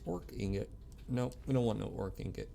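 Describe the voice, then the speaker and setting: A man's voice: on the low side, lively intonation, brisk, vocal fry, mellow, slight background noise. A man giving casual live commentary on a video game, muttering to himself with short exclamations.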